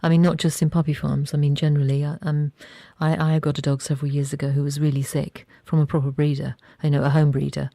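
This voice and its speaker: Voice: medium pitch, little pitch movement, quick pace, gravelly, few pauses, mellow, no echo, clean studio sound. A radio interviewer talking informally, asking rambling questions and often restarting sentences. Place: radio studio.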